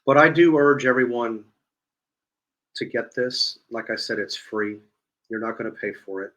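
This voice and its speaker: Man casually explaining, mid-pitched voice. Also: normal speed, fairly bright tone. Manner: repeats himself, rambling explanations. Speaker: guest demonstrating a phone app.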